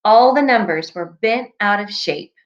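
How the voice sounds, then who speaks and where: A woman reading a children's storybook aloud like a teacher, with deliberate, expressive phrasing for young listeners, on a dull recording. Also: medium pitch. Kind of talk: scripted storybook read-aloud.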